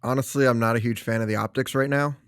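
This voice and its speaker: A man playing a concerned, resigned school administrator, speaking frankly with some hesitant pauses in a role-play conversation. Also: medium pitch, fairly even intonation, clean tone, dry recording, clean studio sound, natural voice.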